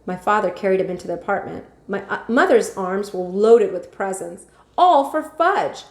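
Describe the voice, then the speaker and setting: A woman's voice: mid-range voice, lively intonation, moderate pace. Woman reading a storybook, echoey room. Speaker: teacher reading aloud. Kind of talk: storybook read-aloud narration.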